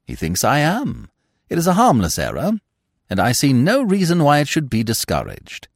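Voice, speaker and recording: A man voicing a suave, unflappable young English gentleman in an audiobook, wordy, elaborately formal and drily courteous. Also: a little high, wildly swooping pitch, moderate pace, no room sound, studio quality, voice pushed up, full character voice. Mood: calm, courteous. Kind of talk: audiobook character voice.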